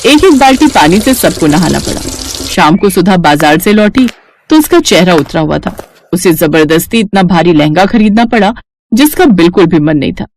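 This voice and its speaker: A woman's voice: fairly low pitch, very animated, normal speed, a little mellow, slight background noise. A woman narrating a scripted family story.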